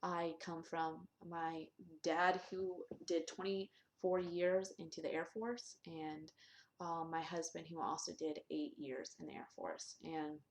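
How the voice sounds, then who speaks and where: A young woman with a fairly low voice chats candidly to the camera, filling her sentences with ums and restarts. She records in an echoey room at home. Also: steady tone, slow pace, a little hiss or hum. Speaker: vlogger. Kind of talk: casual vlog.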